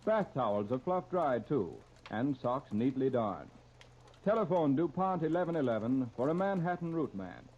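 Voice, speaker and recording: A man's voice: mid-range voice, very animated, moderate pace. A man with an American accent reading polished, emphatic scripted copy as a 1930s radio announcer. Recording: dull, noisy 1939 radio transcription disc.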